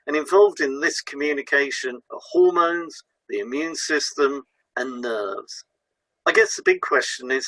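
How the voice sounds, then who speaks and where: A man delivers a scripted lesson as a co-presenter. His voice is bright and forward at a middling pitch, recorded dry and close, and his reading is measured and formal. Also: dry recording, rough recording.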